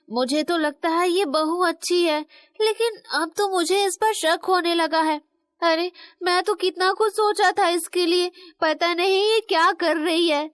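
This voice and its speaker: Very high voice, woman acting as elderly mother-in-law, scolding yet motherly, cartoon storytelling, dry close sound. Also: a bit bright, no echo. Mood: suspicious. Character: stern but loving elderly Indian mother-in-law. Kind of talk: animated moral story dialogue in Hindi.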